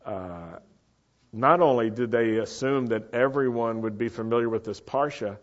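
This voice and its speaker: A man's voice: mid-range voice, normal speed. Man preaching in a muffled recording. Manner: teacherly, asks the audience questions. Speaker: congregation teacher. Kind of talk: Torah-portion sermon. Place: meeting room with a live congregation.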